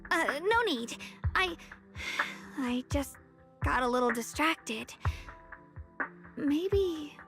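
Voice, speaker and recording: A woman playing a gentle, distracted young woman in a video game, speaking hesitantly as she insists she is fine. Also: high-pitched, animated, faint music behind, full character voice. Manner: hesitant, halting.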